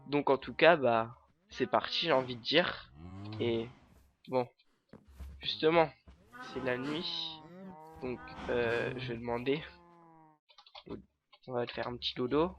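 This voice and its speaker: A man's voice: medium pitch, takes it slowly, pauses often, fairly bright tone, dull audio. A man hosting a let's play with a stuffy nose from a cold, talking through his game in hesitant, filler-heavy rambles. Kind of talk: casual gameplay commentary.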